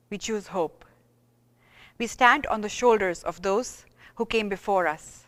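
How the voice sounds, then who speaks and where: A woman delivering a formal oration with earnest, measured phrasing. Her voice is smooth and resonant, mid-pitched. A long hall reverb trails behind her words. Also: a bit bright. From India. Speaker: radiologist giving an oration. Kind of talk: formal lecture.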